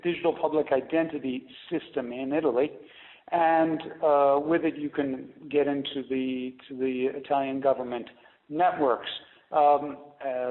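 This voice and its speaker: A man speaking as a company executive on a conference call, explaining things off the cuff in a halting way with repeated and restarted phrases, over a phone line. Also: medium pitch. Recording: narrow tinny phone line, roomy echo.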